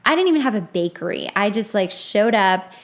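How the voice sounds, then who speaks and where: A woman chatting casually and telling stories in a blunt, animated way, slipping into acted-out quotes. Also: medium pitch, brisk. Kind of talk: casual chat, anecdotes.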